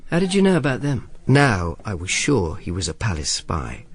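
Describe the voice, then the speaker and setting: An actor playing a world-weary, sardonic Roman informer in a radio drama, grumpy and dry-witted. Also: male voice, on the low side, wildly swooping pitch, normal speed, a little hiss or hum. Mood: dry, guarded. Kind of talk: radio drama narration and dialogue.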